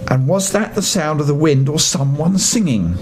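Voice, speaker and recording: Expressive older man, fairly high lively voice. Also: music in the background. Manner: expressive, dramatic emphasis with drawn-out phrases. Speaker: storyteller. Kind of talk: children's bedtime story reading. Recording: noisy home recording with low rumble.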